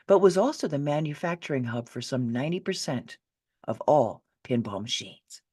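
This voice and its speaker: A woman with a low voice reads her own book aloud, swinging widely up and down in pitch as she presses her points. Her delivery is emphatic and indignant, full of pointed rhetorical questions and dry, sarcastic asides. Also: a little mellow, slightly rough. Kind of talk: nonfiction audiobook reading.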